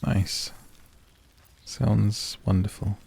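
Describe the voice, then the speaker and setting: Man, very low voice, gravelly, cozy game streamer, casual, musing. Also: steady tone, drawn-out words, a little mellow. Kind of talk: cozy, relaxed game commentary.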